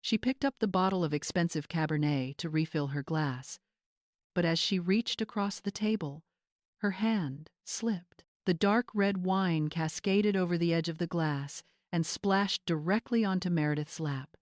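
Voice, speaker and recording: A woman's voice: lowish voice, measured pace, no room sound, clean studio sound. A woman narrator reading a scripted dramatic story in audiobook style.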